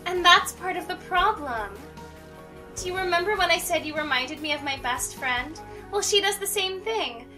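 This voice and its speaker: A woman's voice: extremely high pitch, normal speed, sharp tone, distant mic, soft background music. A young woman reading a visual novel game aloud in a playful way, mixed with casual banter. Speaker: let's play host.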